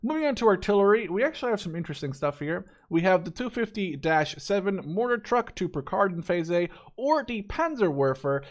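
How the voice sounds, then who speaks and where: A man's voice: high-pitched, sing-song, brisk, few pauses. A man in his twenties giving casual, unscripted gameplay commentary in a rambling, opinionated, informal way. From Netherlands. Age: 20s. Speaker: gaming YouTuber reviewing a game deck.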